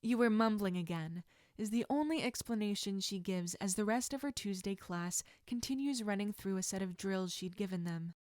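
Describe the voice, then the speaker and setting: A woman reading scripted fiction aloud as an expressive narrator. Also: mid-range voice, moderate pace, hardly any pauses, dry recording, studio quality.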